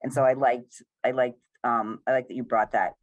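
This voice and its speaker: A woman's voice: rumbling low, fairly even intonation, moderate pace, no room sound, phone-quality sound. A woman screenwriter talking casually in a question-and-answer session, rambling and full of praise for her actors. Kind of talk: casual post-reading Q&A talk.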